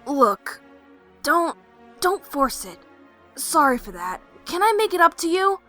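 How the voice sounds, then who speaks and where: A woman's voice: sits high, sing-song, slow, sharp tone, in a large hall, faint music behind, full character voice. A woman voicing a brash, scheming, flirtatious pegasus adventurer in a fan fiction audio drama, with cocky, smug asides. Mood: friendly, coaxing.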